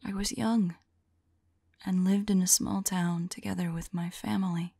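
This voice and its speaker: A woman playing a tender, sorrowful vampire lover in an ASMR roleplay, speaking softly and intimately in a breathy, hesitant whisper. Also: lowish voice, steady tone, measured pace, no room sound, exaggerated voice. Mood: wistful, tender. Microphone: close microphone. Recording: close, dry microphone.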